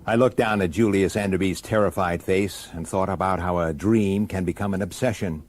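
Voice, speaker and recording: An actor playing a weary, dogged middle-aged police detective in a science fiction radio drama, pressing and intense. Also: male voice, low voice, steady tone, moderate pace, a little hiss or hum, close to an everyday voice. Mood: earnest, urgent. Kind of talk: radio drama acting with first-person narration.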